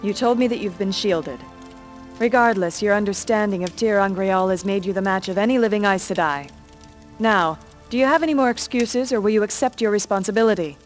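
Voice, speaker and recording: A solemn sorceress mentor, acted by a woman in a fantasy game. She speaks formally and with measured gravity in a mid-pitched voice. Music plays softly behind her. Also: lightly acted. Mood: stern counsel. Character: grave, formal female mentor sorceress of a magical order. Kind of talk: dramatic fantasy game acting.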